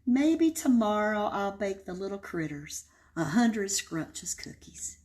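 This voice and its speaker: A woman's voice: medium pitch, big pitch swings, unhurried, a little mellow. A woman putting on a sly, greedy storybook wolf. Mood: scheming. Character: greedy scheming male wolf, sly but kind.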